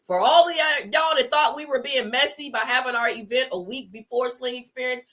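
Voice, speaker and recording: A woman talks fast and loose on a livestream, her high voice worn hoarse. She is animated and a little boastful, and she sprinkles in plenty of y'all as she plugs an upcoming show. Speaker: podcast guest and event organizer. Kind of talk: casual livestream chat.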